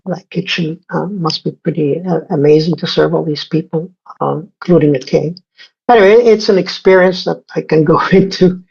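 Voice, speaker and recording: A man in his sixties from Egypt speaks as an interview guest, his voice husky with a gravelly edge. He talks in a halting way, full of fillers and self-corrections. The recording sounds dull and lo-fi. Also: a little high, a little mellow. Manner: halting, many fillers and restarts. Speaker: guest scientist. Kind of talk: casual interview talk.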